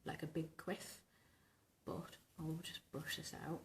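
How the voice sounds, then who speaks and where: A woman speaks in an extremely deep, smoky voice, hoarse and rumbling from a sore throat. She chats informally and rambles as she gives a casual tutorial to camera. Clear background noise sits behind her in a room at home. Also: flat delivery, slow, roomy sound. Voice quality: husky, rumbling, smoky voice from tonsillitis. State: recovering from tonsillitis, hoarse. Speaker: vintage hairstyling vlogger. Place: small domestic bedroom, indoors.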